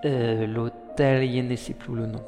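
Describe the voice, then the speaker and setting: A man playing a smitten, courteous gentleman suitor who is easily flustered, in a French audio drama with formal period speech. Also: medium pitch, little pitch movement, measured pace, lightly acted. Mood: hesitant. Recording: long reverb, clear music behind.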